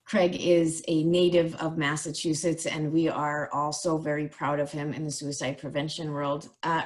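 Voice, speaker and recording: A woman with a somewhat low voice moderates an online webinar and introduces the speakers. She praises them warmly and uses a few fillers. She is heard over a video call.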